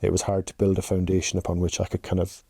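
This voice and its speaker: A man's voice: sits low, monotone, fast talker, warm tone, slightly rough, no echo. A man in his forties with an Irish accent, chatting in anecdotes as an interview guest on a podcast. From rural Ireland. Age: 40s. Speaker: podcast guest, chief executive. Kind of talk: conversational interview answers.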